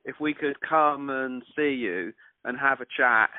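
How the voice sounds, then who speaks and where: Man, mid-pitched, tentative, stop-start phrasing, informal chat, dry thin telephone audio. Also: a little slow, clear, resonant tone, dry recording. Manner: hesitant, stammering over starts of phrases. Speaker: interviewer, listener. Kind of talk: casual phone conversation. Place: on a phone call. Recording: narrowband telephone call, dry.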